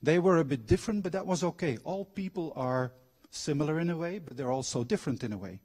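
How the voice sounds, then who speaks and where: Middle aged guy telling stories in a lecture, bit husky, voice on the higher side. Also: lively intonation, fast, darkish tone. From Belgian, grew up abroad. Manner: engages audience with questions. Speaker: guest lecturer, former factory manager and trained coach. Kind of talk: public lecture with personal anecdotes. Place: university lecture hall.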